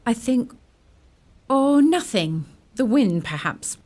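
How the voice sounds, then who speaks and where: A woman's voice: on the high side, sing-song, takes it slowly, a little mellow, slight background noise. A woman playing a concerned, motherly old household servant who tells her story in a radio drama. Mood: probing, gentle.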